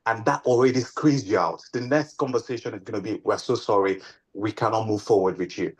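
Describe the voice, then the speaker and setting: Low-voiced man moderating, halting. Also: breathy edge. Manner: halting, repeats words. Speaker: panel moderator. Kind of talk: panel discussion question.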